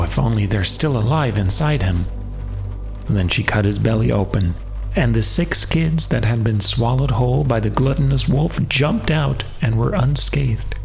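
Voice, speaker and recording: A man's voice: on the low side, creaky. A man reading a fairy tale as a bedtime audiobook narrator.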